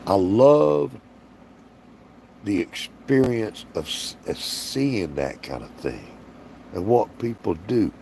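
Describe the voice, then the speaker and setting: Deep, slow man hosting a livestream. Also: sing-song, gravelly, a little mellow, a little hiss or hum. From Alabama, US. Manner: folksy, rambling, reads out viewer comments. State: tired, strained voice. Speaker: livestream host. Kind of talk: casual livestream Q&A chat.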